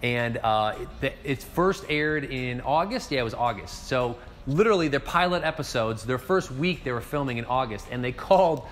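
A man's voice: mid-range voice, animated, moderate pace, hardly any pauses, fairly bright tone. A man in his forties from northeast Ohio, a restaurant owner giving an upbeat, casual year recap straight to camera from his empty bar, gesturing as he talks. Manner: upbeat, gestures with hands while talking. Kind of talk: casual direct-to-camera talk. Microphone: seated facing a mid-distance camera.

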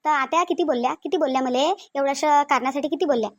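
A woman's voice: high-pitched, rapid-fire, close to an everyday voice. A woman with a Varhadi Marathi accent playing a stubborn, aggrieved young daughter-in-law in a cartoon family drama, complaining insistently and repeating herself. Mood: angry, aggrieved.

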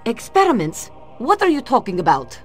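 A woman's voice: a little high, wildly swooping pitch, moderate pace, faint music behind. A woman playing a cool, seductive Soviet secret agent in a video game, with a Russian accent. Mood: businesslike. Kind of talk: video game cutscene acting.

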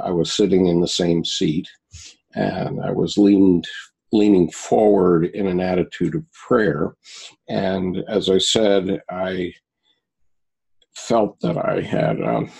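A man in his seventies, a guest recounting personal experiences in a halting way, with many pauses and restarts. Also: low-pitched, creaky, a little mellow, husky, poor audio. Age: 70s.

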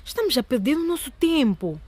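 A woman's voice: high-pitched, big pitch swings, brisk, darkish tone. An actress playing a young woman on a stakeout, weary and complaining. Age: young adult.

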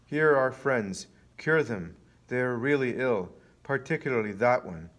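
Give the part of a hostile priest in a storybook reading, acted out by a male narrator. He is loud and sarcastic, pushing the voice up as he accuses and mocks. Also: lowish voice, sing-song, slow pace, slightly rough, full character voice. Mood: insistent. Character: hostile mocking priests, sneering middle-aged men.

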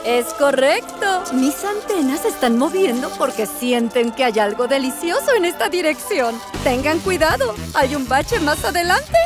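A woman's voice: high-pitched, very animated, normal speed, few pauses. A woman voicing a cheerful, helpful female ant in a children's cartoon, explaining and encouraging. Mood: friendly, instructive. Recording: children's music behind.

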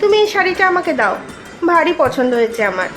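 Very high-voiced kindly passenger. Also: female voice, big pitch swings, quick pace, over music. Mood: approving. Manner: friendly, appreciative. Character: kindly, curious, approving woman train passenger. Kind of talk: Bengali animated story dialogue.

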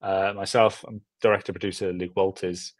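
A man in his thirties with a fairly low voice and a dry, close sound. He talks casually as a filmmaker being interviewed over a video call, and often doubles up words for emphasis. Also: flat delivery, a little fast, no room sound. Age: 30s. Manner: repeats words and phrases for emphasis. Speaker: film director and producer, interview guest. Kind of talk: casual chat. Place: small furnished room at home, indoors. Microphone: video call with earbuds.

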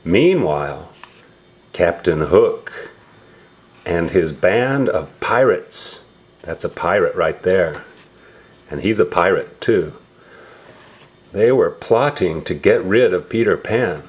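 A man with a fairly low voice reads a children's storybook aloud. His pitch swings expressively and he takes his time, repeating simple words and explaining as he goes. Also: lively intonation. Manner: simple, repetitive, drawn-out words with exclamations. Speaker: storybook reader for young relatives.

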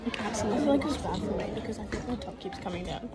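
A woman's voice: mid-range voice, expressive, racing. A young woman chatting casually backstage as a vlogger, filmed on a phone at arm's length. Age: young adult. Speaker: vlogger and pantomime performer. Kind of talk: casual backstage vlog chat. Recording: phone video with loud crowd and music behind.

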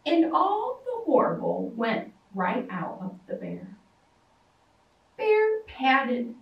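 A woman in her thirties reading a picture book aloud to young children at a library storytime, sing-song and animated, acting out shouts and roars. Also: high-pitched, slow, gravelly, recorded from a distance, dull audio. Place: library storytime room with toys. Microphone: far camera. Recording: echoey room, voice from afar.

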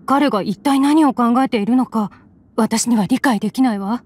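A woman playing a gentle worried mother. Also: a little high, moderate pace, a little hiss or hum, lightly acted. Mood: thoughtful. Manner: soft, polite and anxious. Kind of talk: game voice acting.

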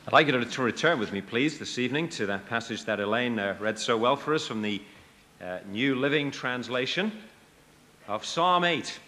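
A middle-aged man with a British accent preaching a sermon at a convention, animated, slipping into acted voices when he tells stories. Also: mid-range voice, normal speed, long reverb tail, a little hiss or hum. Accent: British English. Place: large convention tent.

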